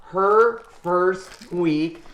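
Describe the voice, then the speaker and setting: A man's voice: sits high, extremely slow, a bit bright. A man in his thirties hosting a casual group chat, telling stories in a chatty way. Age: 30s. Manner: chatty storyteller. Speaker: host and business owner. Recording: roomy echo.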